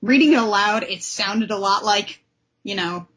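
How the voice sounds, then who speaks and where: A woman's voice: medium pitch, moderate pace, fairly bright tone. A woman hosting a casual discussion show, talking in an enthusiastic, effusive way. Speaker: podcast host.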